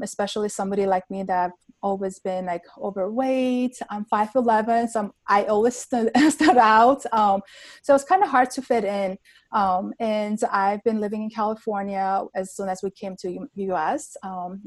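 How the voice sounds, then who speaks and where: A woman's voice: mid-range voice, a little mellow, no room sound. A woman in her thirties from Armenia, telling her story earnestly as a podcast guest with many ums and likes. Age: 30s. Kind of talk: casual interview talk.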